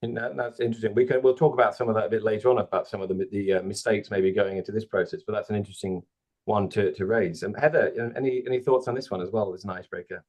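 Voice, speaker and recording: A man's voice: fairly low pitch, fairly even intonation, fast talker, a little mellow. A man hosting an online panel as a recruiter, chatty with many fillers, on a video call. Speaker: host, recruiter.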